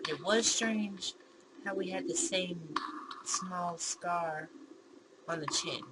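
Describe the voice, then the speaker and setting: A woman's voice: fairly low pitch, slow pace. A woman gaming YouTuber reading a visual novel aloud, with bemused, giggly reactions in between. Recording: clear background noise.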